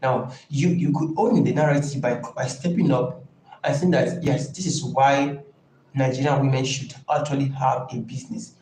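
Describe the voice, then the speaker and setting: A man coaching a live online class in an informal, halting and deliberate way, stressing key points by repeating them. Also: medium pitch, steady tone, a little mellow. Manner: emphatic, repeats key phrases. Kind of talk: informal live teaching talk. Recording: echoey room, faint background noise.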